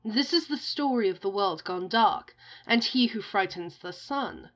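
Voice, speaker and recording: Female storybook reciter played by a woman: solemn, rhythmic, measured delivery, recording a little muffled. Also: mid-range voice, lively intonation, a bit bright. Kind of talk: scripted storybook narration. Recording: slightly dull, muffled audio.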